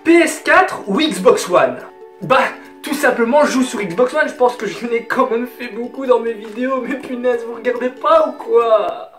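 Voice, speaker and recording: A man's voice: extremely high pitch, moderate pace, a bit bright. An eighteen-year-old man with a Belgian French accent from Hainaut, chatting casually and emphatically to camera. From southern Belgium. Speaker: YouTuber answering viewer questions. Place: small bedroom, indoors. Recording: roomy bedroom sound, music underneath. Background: music.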